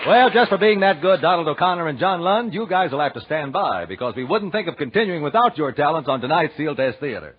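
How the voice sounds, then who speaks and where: A man's voice: fairly high pitch, very animated, a little fast, few pauses. A male radio announcer reading scripted copy in a polished, emphatic 1940s sales style. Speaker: radio announcer and sponsor pitchman. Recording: dull 1940s radio recording.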